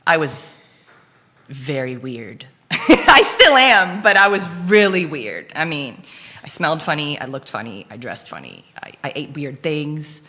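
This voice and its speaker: A woman's voice: sits low, big pitch swings, a little slow, pushed and bright. A young woman from the Philippines whose first language is Dutch, sharing her testimony in a chatty way, with lots of fillers and frequent laughter. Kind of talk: casual conversational testimony. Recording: long hall reverb.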